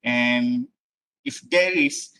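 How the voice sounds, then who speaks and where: A man's voice: mid-range voice, extremely slow, bright, forceful tone. A man moderating the question session of an online academic lecture. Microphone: video call.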